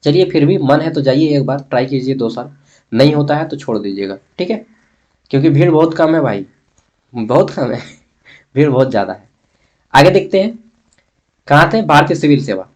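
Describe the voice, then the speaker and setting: A man with a Jharkhand Hindi accent and a slightly high voice gives an informal lesson. He talks straight to his students in everyday colloquial speech. He often poses a question and then answers it himself. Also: expressive, normal speed, long pauses, darkish tone. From Jharkhand, India. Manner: colloquial, chatty, addresses students directly. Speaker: history teacher for college students. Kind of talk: informal exam-prep lesson.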